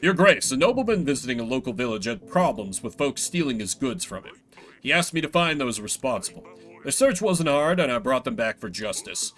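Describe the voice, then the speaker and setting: A man's voice: medium pitch, very animated, fairly quick, a bit bright, voice pushed down. Man as formal, loyal game general. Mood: dutiful. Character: loyal general reporting to his king.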